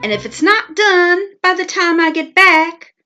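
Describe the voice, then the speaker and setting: A woman's voice: very high voice, animated, a little slow, pushed and bright, soft background music, pitched above the performer's own voice, exaggerated voice. A woman voicing a wily, boastful male wolf in a children's storybook, smug and theatrical, relishing each word. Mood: sly.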